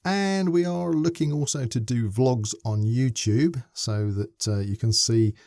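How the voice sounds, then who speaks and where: A man's voice: medium pitch, measured pace, a little mellow, no echo, clean studio sound. A man hosting a casual podcast interview, asking long, winding questions with many restarts. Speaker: podcast host.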